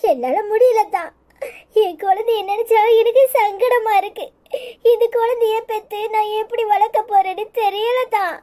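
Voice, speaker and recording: A woman's voice: extremely high pitch, normal speed, mellow. A woman playing a despairing young pregnant village woman in a melodrama, tearful. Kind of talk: melodramatic village serial acting.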